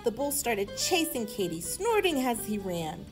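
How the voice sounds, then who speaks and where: A woman reading a children's story aloud in an expressive manner. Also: fairly high pitch, unhurried, over music. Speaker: storybook reader.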